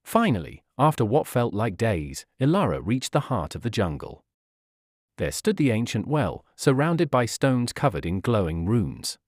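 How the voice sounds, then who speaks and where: A man's voice: on the low side, very animated, a little slow, dry recording, studio quality. A man narrating a scripted fairy tale for children as a storyteller.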